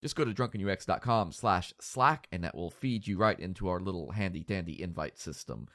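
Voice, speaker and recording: A man's voice: low-pitched, expressive, brisk, fairly bright tone, dry recording, clean studio sound. Stuffy-nosed man hosting a podcast. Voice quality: nasal. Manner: rambling, self-deprecating, joking. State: stuffy with a cold. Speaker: podcast host. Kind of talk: casual podcast chat.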